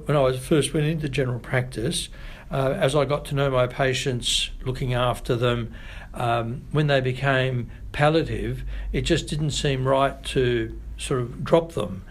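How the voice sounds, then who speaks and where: A middle-aged Australian man, a family doctor, answering interview questions in a measured, thoughtful way with frequent pauses. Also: mid-range voice, slight background noise. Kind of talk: podcast interview.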